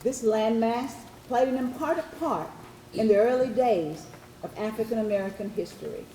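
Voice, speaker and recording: A woman narrator reads from a script in a dark, mellow voice of medium pitch. The room is echoey, with faint noise behind her. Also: normal speed. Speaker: narrator in readers theatre performance. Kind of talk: scripted historical narration read aloud.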